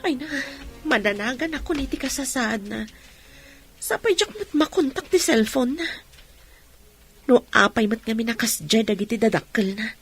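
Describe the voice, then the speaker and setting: A woman's voice: fairly high pitch, lively intonation, moderate pace, long pauses, breathy edge, faint music behind. A woman playing a worried, caring young friend, musing with concern in a radio drama.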